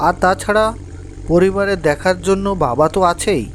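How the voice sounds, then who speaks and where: An actor playing a loyal, practical, sceptical lorry driver in a horror cartoon. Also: male voice, high voice, moderate pace, natural voice. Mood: concerned, loyal. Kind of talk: cartoon voice acting. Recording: faint engine noise behind.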